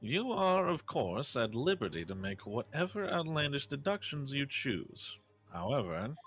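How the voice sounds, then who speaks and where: A man voicing a formal, theatrical gentleman detective from a mystery game in an amateur dub, with elaborate phrasing. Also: medium pitch, big pitch swings, a little slow, faint music behind, pitched above the performer's own voice. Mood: haughty, insistent. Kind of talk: amateur fan dub of a visual novel game.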